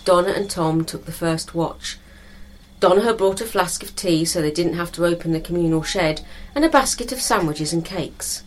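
A woman's voice: fairly low pitch, poor audio. A British woman narrating a scripted story as a storyteller.

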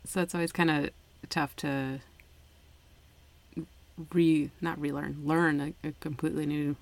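A woman in a relaxed casual conversation who thinks aloud and laughs lightly. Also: sits low, a little slow, creaky, no echo. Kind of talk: casual chat.

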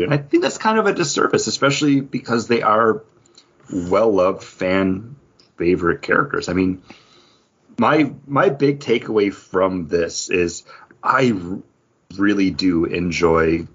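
Male podcast host, low and rough-edged, casual and halting, rambling delivery. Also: lively intonation, dull audio. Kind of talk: casual chat.

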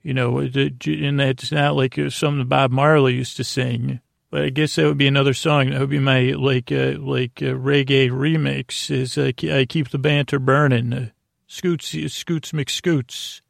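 Man, heavy vocal fry, mid-pitched, podcast host, hesitant stammering, meandering whimsical monologue, dry sound. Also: flat delivery, unhurried, dry recording. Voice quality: gravelly, creaky. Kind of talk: rambling solo banter monologue.

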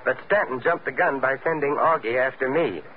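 An actor playing a suave, wry adventurer in an old-time radio detective drama, urbane and dryly bantering. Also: male voice, mid-range voice, fairly quick, natural voice. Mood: dryly amused. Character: suave, wry male adventurer, coolly teasing. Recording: muffled old radio recording, faint hiss.

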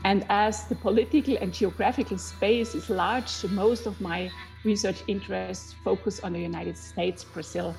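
A woman's voice: medium pitch, fairly even intonation, normal speed, gravelly, few pauses, darkish tone, soft background music, poor audio. A woman from Austria with an Austrian accent, a native German speaker, explaining her research as a historian in a reflective, searching way.

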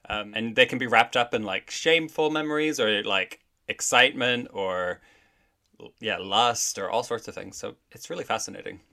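A man's voice: lowish voice, moderate pace, gravelly, pushed and bright. A man from Canada, a drag performer, chatting casually as a guest on a podcast, full of "like" and "I think". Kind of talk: casual interview chat.

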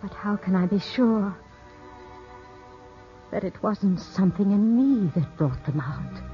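An actress in a 1950s radio drama playing a poised, enigmatic middle-aged widow, speaking in a measured, intimate, restrained way. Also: female voice, medium pitch, expressive, faint music behind. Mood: wistful, reflective. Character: poised, enigmatic widow of about thirty-five, wounded and gracious. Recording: dull, muffled old radio recording.

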